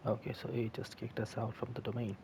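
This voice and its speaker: A man's voice: little pitch movement, fast talker. A man giving casual, informal gameplay commentary, reacting in a puzzled way. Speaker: gaming video creator. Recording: noisy mic with low rumble.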